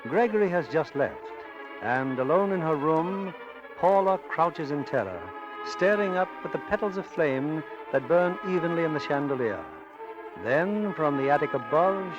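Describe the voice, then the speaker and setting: High-voiced vintage radio narrator, a man. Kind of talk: dramatic 1940s scripted radio narration. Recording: muffled vintage radio, loud music.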